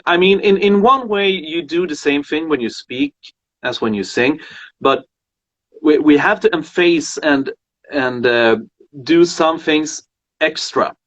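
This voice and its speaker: A man hosting a casual conversation about singing, a voice teacher who talks haltingly with many fillers and restarts. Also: fairly high pitch, a bit bright. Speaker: host and voice teacher. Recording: muffled lo-fi sound.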